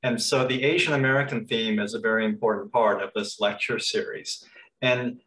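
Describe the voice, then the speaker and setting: A man's voice: lowish voice, steady tone, normal speed, a bit bright, slight background noise. Middle-aged man asking questions in an echoey hall. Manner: rambling, repeats words while framing questions. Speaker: lecture series host. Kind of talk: moderated panel questions. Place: temple hall. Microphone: video call. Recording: echoey room, mic at a distance.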